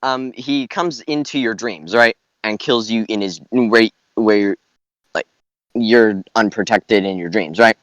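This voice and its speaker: A man chatting casually as a podcast panellist, haltingly repeating phrases as he builds his ideas. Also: fairly low pitch, fairly bright tone, no echo, highs cut off. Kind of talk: casual chat.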